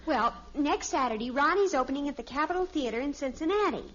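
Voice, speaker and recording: A melodramatic, high-voiced American teenage girl. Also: animated, fast, fairly bright tone. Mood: pleading. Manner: earnest, melodramatic. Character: dramatic, lovestruck, headstrong teenage daughter. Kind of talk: 1950s radio sitcom acting. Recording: muffled old radio recording.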